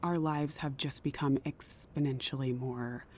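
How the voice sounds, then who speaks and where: A woman's voice: very deep voice, fairly even intonation, a little slow, a little mellow, a little hiss or hum. A woman playing a worried, stubborn, principled council official in a naturalistic drama. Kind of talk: naturalistic audio drama acting.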